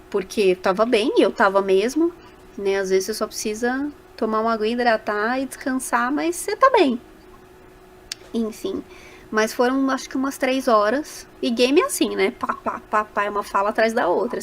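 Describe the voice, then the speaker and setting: A woman's voice: medium pitch, a little hiss or hum. A Brazilian woman speaking casually as a voice actor guest in a podcast interview, animatedly telling stories and acting out little quoted remarks.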